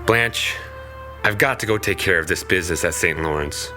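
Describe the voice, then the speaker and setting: A man's voice: low-pitched, normal speed, over music, close to an everyday voice. An actor playing a stern, protective young man in an audio drama, terse and commanding. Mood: earnest, reassuring.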